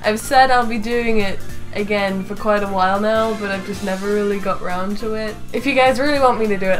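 A woman in her twenties chatting casually in a Q&A vlog, with a jokey, sweary manner. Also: medium pitch. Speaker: YouTube host and voice actor. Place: small bedroom, indoors. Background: background music.

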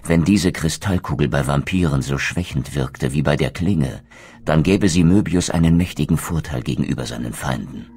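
A man voicing a brooding, noble undead vampire wraith who narrates his quest in a solemn, measured, literary manner for a video game. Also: rumbling low, darkish tone, breathy edge, full character voice. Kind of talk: scripted dramatic game narration.

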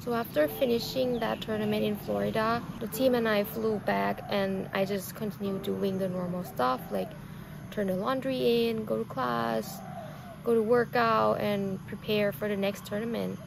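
A Thai woman in her twenties with a Thai accent, telling her own story softly and slowly to a camera outdoors, with many fillers. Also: mid-range voice, steady tone, vocal fry, noisy background. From Thailand. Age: 20s. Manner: slow, soft and reflective, with many fillers. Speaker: vlogger. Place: outdoors on a lawn. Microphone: camera on a tripod, seated facing it.

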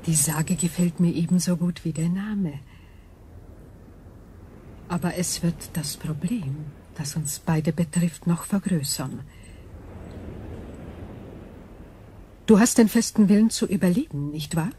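A woman acting an ancient, calm and thoughtful alien being in a radio drama, speaking in measured, formal phrases. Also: lowish voice, a little hiss or hum, close to an everyday voice. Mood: calm, probing.

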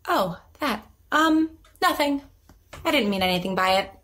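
A woman's voice: fairly high pitch, lively intonation, unhurried, fairly bright tone. A woman playing a nervous young therapy patient in an audio drama, rambling and hesitant in a naturalistic style. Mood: flustered. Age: young adult. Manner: hesitant, stammering, self-conscious rambling.

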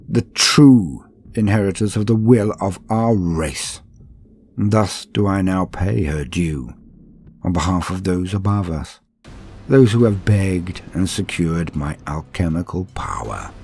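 A man voicing a cruel, proud alien torturer-sorcerer in a dramatic audiobook reading, speaking with slow, gloating menace and whispered edges. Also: deep voice, dark tone, husky, full character voice.

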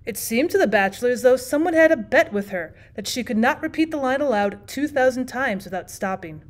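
A woman with a slightly high voice narrates an audiobook. She reads from a script as a teacher would when reading aloud to a class. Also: expressive, a little fast.

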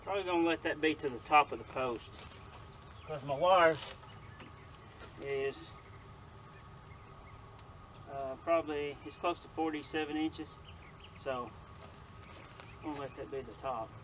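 A middle-aged man narrates farm chores in an easygoing, rambling manner, his voice a touch higher than most men's. He is recorded outdoors in an open field by a camera some distance away. Clear outdoor noise sits behind him. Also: a little slow, long pauses. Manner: relaxed, rambling, self-correcting. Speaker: farmer vlogger. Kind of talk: casual narration while working. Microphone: camera on tripod at a distance.

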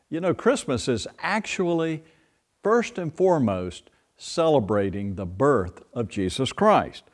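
A man in his sixties, a pastor, giving a playful children's sermon in a church sanctuary, filmed from a distance. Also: medium pitch. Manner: playful, speaks slowly and gently to children. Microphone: far camera.

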